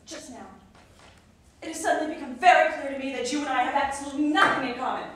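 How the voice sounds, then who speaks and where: An actress playing a dramatic, impulsive young newlywed wife who swings between shrill yelling and tears, in classic film acting. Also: female voice, steady tone, fast talker. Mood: indignant. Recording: echoey film soundtrack from a distance.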